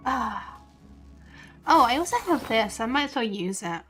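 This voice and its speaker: A woman chats through a live game stream in a slightly high voice. She takes her time, thinking aloud informally, with faint game music playing behind her. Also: very animated, unhurried, a bit bright. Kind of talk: casual live gaming stream commentary.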